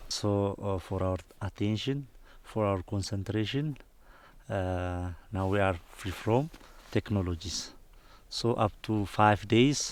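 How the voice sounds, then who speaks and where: A man acting as facilitator, giving a group instructions in a slow, deliberate way with pauses. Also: fairly low pitch, a little mellow.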